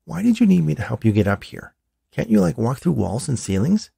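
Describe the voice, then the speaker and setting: An eager, friendly little boy from a storybook, voiced by a man. The pitch is lifted and lively, full of curiosity. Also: a little fast, gravelly, husky, no echo, studio quality, heavily acted. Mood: curious. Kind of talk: audiobook character reading.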